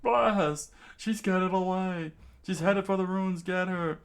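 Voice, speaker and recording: A man's voice: high voice, unhurried, clean tone, pitched above the performer's own voice. A man voicing a demented, menacing bandit kidnapper with a taunting, gleeful manner, in a comic story read aloud. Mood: frantic greed. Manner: taunting, exclaiming, cackling.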